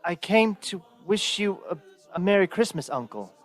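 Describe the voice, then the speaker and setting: A man's voice: high voice, expressive, moderate pace. A man playing a cheerful, kindly nephew in a theatrical radio play, speaking heartily and earnestly. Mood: earnest, appealing.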